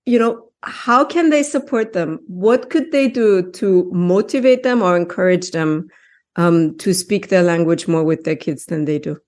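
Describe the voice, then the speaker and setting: Woman podcast host, lowish voice. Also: unhurried. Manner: lively, laughs often, asks long questions. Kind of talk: casual interview.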